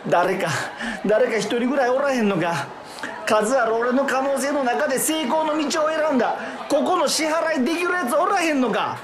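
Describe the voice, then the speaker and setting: Actor as an exasperated Kansai loser, declamatory. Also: male voice, extremely high pitch, animated, normal speed, over a noisy crowd, pitched above the performer's own voice. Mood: exasperated, indignant. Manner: exasperated, declamatory, rhetorical questioning. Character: indignant loser man ranting at his parallel-world selves. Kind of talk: comic radio drama monologue.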